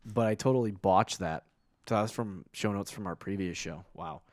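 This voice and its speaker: Podcast co-host, man from New England, low voice, dry close mic, loose chatty delivery. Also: normal speed, a little mellow, no echo. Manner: loose, laughing, trails off and restarts. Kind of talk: casual sports chat.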